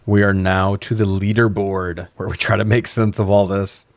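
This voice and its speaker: A man's voice: on the low side, little pitch movement, moderate pace, dry recording. A man co-hosting a podcast in a casual film review chat, opinionated and joking, with some swearing. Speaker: podcast co-host.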